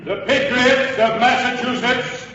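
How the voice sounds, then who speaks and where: Very high, ringing colonial delegate. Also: male voice, fairly even intonation, measured pace, sharp tone, exaggerated voice. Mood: proud, resolute. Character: proud colonial delegates declaring their votes. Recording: noisy, dull, very echoing hall recording.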